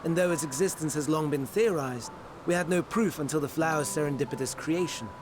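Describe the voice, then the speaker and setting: A man as a higher-voiced, earnest scholarly researcher. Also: over music, lightly acted. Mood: earnest, absorbed. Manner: formal archaic diction, measured explaining. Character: earnest, scholarly male researcher, gently proud creator. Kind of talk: scripted video game cutscene acting.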